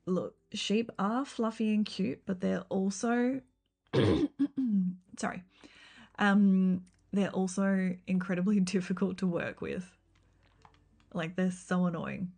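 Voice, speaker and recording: A woman, chatting casually on a game livestream. Her voice is a little rough and croaky, and she meanders along with frequent ums and likes. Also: mid-range voice, slow, pauses often, darkish tone. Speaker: game streamer. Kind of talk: casual livestream chat while building in a game.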